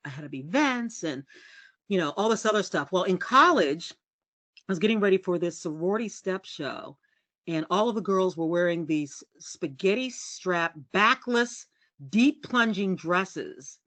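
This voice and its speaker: A middle-aged woman journalist from the US, telling candid stories as a podcast guest in a low, resonant voice. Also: normal speed, no echo. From United States. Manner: lively, candid and self-deprecating, laughs often. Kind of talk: casual storytelling interview.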